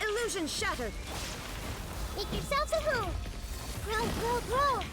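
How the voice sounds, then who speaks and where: A woman's voice: very high voice, very animated, a little slow, loud music behind the voice, full character voice. A woman voicing a young anime game heroine calling out short battle moves. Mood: commanding. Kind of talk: anime game combat voice lines.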